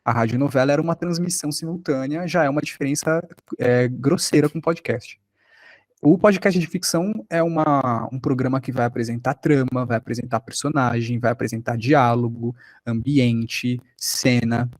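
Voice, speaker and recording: A man's voice: mid-range voice, fairly even intonation, moderate pace, dry recording. A man with a Brazilian accent giving an informal online lesson, explaining things as he thinks aloud. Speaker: workshop teacher.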